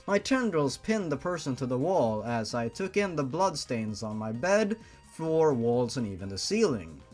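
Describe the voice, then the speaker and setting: A man's voice: medium pitch, sing-song, moderate pace, a little mellow, faint music behind. A man reading a fan story aloud and breaking in with sarcastic, profane reactions. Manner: sarcastic and reactive, swears freely. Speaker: story reader.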